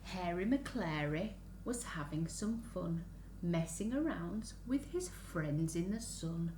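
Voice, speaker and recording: A woman reads a picture book to little children in a slow, sing-song voice that rises and dips widely. She often turns to the listeners with simple questions. The room is echoey, with faint noise behind her. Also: on the low side, expressive, mellow. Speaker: children's story reader. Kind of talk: picture-book read-aloud for young children. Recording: roomy echo, faint background noise.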